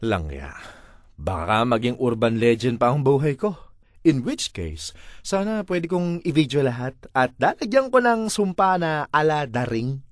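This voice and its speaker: A Filipino-accented man performs a comic first-person monologue as a dramatized story. His mid-pitched voice swings extremely widely in a theatrical, exasperated delivery, recorded close and dry. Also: very animated, a little slow, no room sound. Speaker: voice-over reader of a comic monologue.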